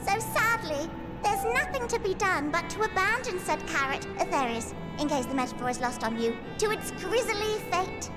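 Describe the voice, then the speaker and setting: A woman's voice: very high-pitched, lively intonation, normal speed, over loud music, poor audio. A woman voicing a chirpy, long-winded, self-important little rabbit-like creature in a video game, theatrical and wordy. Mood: chipper, matter-of-fact. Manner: theatrical, wordy, archaic phrasing. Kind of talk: scripted video game dialogue.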